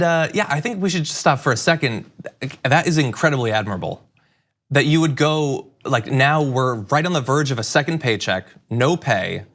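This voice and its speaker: A male talk show host with an average-pitched voice conducts a news interview. He is engaged and conversational, phrasing questions at length as he thinks them through aloud. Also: fairly bright tone.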